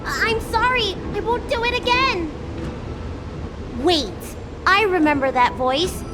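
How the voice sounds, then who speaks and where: A woman's voice: extremely high pitch, slow pace, noisy background. A woman voicing a childlike little dragon girl in a video game, with a hesitant, plaintive, childish manner. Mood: sheepish, surprised. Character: childlike little dragon girl, playful, timid when caught.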